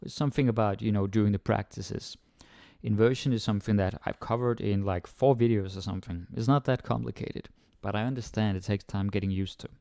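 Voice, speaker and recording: A man whose first language is Danish gives an informal language lesson in a slightly low voice. He rambles easily and leans on fillers as he acts out his students' thoughts. The sound is dry and close, with almost no room echo. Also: fairly even intonation, brisk, gravelly, mellow. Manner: chatty, full of "like" and "you know", acts out learners' thoughts. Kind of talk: casual spoken lesson to camera.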